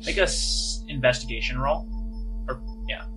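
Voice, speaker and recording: A man's voice: medium pitch, moderate pace, over music, rough recording. A man running a tabletop role-playing game as game master, improvising descriptive narration of the scene.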